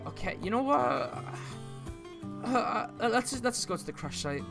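A man playing an excitable, sarcastic teenage duellist, blustering and repeating himself in an improvised Minecraft roleplay. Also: high voice, moderate pace, creaky, over music, heavily acted. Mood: sarcastic, casual.

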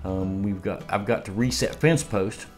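Man, 50s, homestead vlogger, low voice, loose rambling chat, room camera, soft music bed. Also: moderate pace. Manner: rambling storyteller with laughs and restarts. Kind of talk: casual chat. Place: home dining room, indoors. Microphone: camera across the table.

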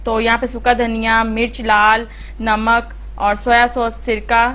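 A woman's voice: on the high side, level tone, measured pace, a bit bright. Chatty young woman teaching a home recipe. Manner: chatty, instructive, many fillers. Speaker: cooking channel host. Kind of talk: voice-over cooking tutorial.

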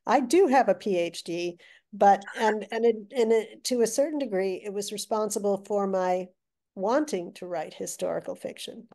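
An older woman, a guest author, telling stories in a chatty, animated way with restarts, heard over a video call. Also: mid-range voice, normal speed. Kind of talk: casual interview chat. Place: living room with brick wall. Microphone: video call webcam.

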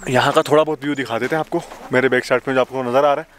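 A young man vlogging casually outdoors on a snowy mountain road, chatty and excitable, talking to a camera held at arm's length. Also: medium pitch, racing. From Pakistan. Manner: chatty, excitable, laughs often. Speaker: travel vlogger. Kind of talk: casual travel vlog. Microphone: handheld camera at arm's length. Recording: outdoor noise, wind and vehicles.

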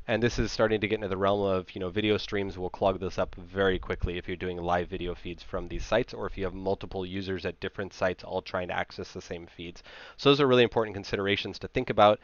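Low-voiced man presenting, dull dry audio. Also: no echo. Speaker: conference presenter. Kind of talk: informal technical slide presentation. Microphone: headset.

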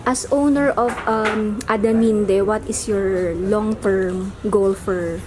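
A woman's voice: medium pitch, steady tone, slow, poor audio. A woman asking questions in a casual on-location interview. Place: indoors, restaurant. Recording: clear restaurant crowd noise. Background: restaurant crowd.

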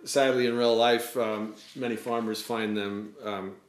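A man's voice: on the low side, fairly even intonation, a little slow. A man in his forties, co-hosting a casual family vlog and chatting about books. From England. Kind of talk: casual book chat to camera. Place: indoors, living room on a couch. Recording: roomy indoor sound.

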